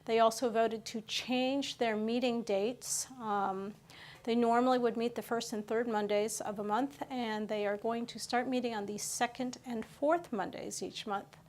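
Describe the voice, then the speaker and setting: A woman hosting a local news program, talking through the stories conversationally with frequent pauses and fillers. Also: fairly high pitch, fairly even intonation, a little mellow.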